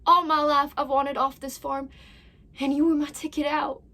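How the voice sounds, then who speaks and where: A woman's voice: sits high, flat delivery, moderate pace, bright, forceful tone. A woman performing a dramatic monologue as a desperate young farm wife confessing to her absent husband in an anguished manner. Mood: desperate longing. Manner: anguished, swinging between whispers and outbursts. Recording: distant mic with faint background noise.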